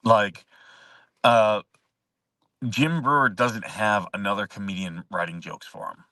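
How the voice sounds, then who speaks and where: Guy hosting a live chat, keeps chuckling, bright punchy voice kinda low, sits back from the mic. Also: animated, takes it slowly, no room sound, recorded from a distance. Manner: rambling, chuckling, answers viewer comments. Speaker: host. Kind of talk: casual livestream chat.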